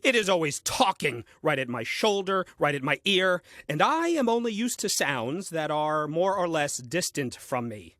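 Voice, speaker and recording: An actor playing a grumbling, solitary first man reading out his diary in a comic audio play, with a dry, deliberate manner. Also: on the high side, very animated, a bit bright, no echo. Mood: grumbling, skeptical.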